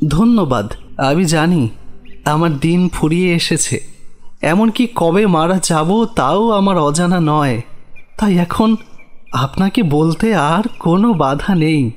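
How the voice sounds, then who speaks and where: A man's voice: on the high side, takes it slowly. A man voicing a gaunt, sickly, doomed man who confesses his guilt solemnly and politely in a horror story. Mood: resigned, confessing. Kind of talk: horror audio story character acting.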